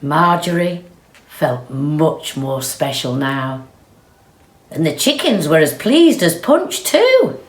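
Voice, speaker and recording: A woman reading a children's storybook aloud with expressive, deliberate delivery. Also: sits low. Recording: roomy, echoey sound.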